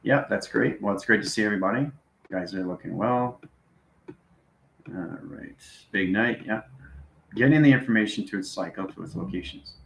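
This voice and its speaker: Male live spiritual host, low register, rambling teacherly delivery with repeated check-ins, noticeably echoey sound. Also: animated, moderate pace, creaky, fairly bright tone, a little hiss or hum. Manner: instructional, frequent check-in tags like okay and right. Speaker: host answering live viewers. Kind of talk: live-stream spiritual talk, rambling explanation.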